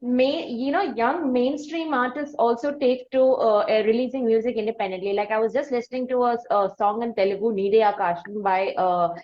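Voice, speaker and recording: A woman's voice: on the high side, brisk, hardly any pauses. A woman with an Indian accent interviewing conversationally, in wordy, hesitant phrases that often repeat. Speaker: podcast interviewer. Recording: muffled, roomy audio.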